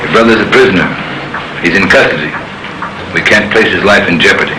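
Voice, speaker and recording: A steady senior policeman acted by a man with a low voice and quick, commanding delivery. It is a vintage police drama, matter-of-fact in tone, on an echoey old radio recording. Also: background noise, close to an everyday voice. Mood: calm, official. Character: steady, authoritative male senior police officer. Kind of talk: 1950s police drama acting.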